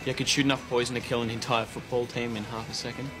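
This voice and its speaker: Actor as a sarcastic young guy, dry mocking delivery, normal pitch, music behind. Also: flat delivery, fast, lightly acted. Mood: snide.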